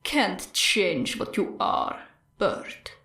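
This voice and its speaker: A woman voicing a cold, contemptuous male villain in an audiobook, taunting quietly. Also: fairly low pitch, wildly swooping pitch, very slow, reverberant, far from the mic, heavily acted. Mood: cold contempt. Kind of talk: audiobook character acting.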